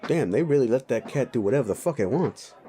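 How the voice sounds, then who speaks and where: A man's voice: mid-range voice, expressive, quick pace, warm tone, lightly acted. A man playing a sleazy, bullying, foul-mouthed werewolf boss in a roleplay audio drama, blunt and needling. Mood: cocky, teasing. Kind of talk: immersive roleplay audio drama addressed to listener.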